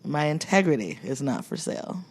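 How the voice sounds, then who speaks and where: A woman's voice: deep voice, sing-song, moderate pace, breathy edge. A woman in casual podcast conversation as a co-host. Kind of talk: casual chat.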